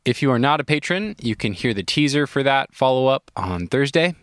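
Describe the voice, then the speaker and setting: A man's voice: mid-range voice, brisk, no echo, clean studio sound. A man hosting a podcast, talking casually and laughing often, a little stuffy from a head cold. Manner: relaxed, laughs often, restarts sentences. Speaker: podcast host. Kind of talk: casual podcast chat and ad read. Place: inside a van.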